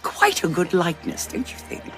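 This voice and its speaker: Haughty, scheming commander with swooping delivery. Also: female voice, lowish voice, wildly swooping pitch, normal speed, soft background music. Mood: teasing. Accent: British. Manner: arch, condescending, theatrical. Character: scheming, haughty female Time Lord war commander, coolly amused. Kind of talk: full-cast audio drama acting.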